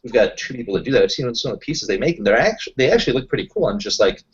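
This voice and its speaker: A man's voice: mid-range voice, big pitch swings, racing, highs cut off, a little hiss or hum. A man playing a tabletop roleplaying game, explaining things in an informal, rambling way in casual chat.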